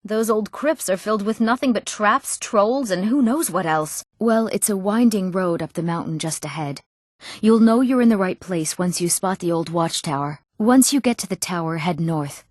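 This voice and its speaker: Woman playing a young fantasy game townswoman, earnest, articulate, medium pitch, dry close recording. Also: lively intonation, normal speed, a little mellow, no echo, natural voice. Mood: helpful, earnest. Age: young adult. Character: eager young townswomen and nobles of a fantasy realm. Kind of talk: scripted fantasy game character dialogue.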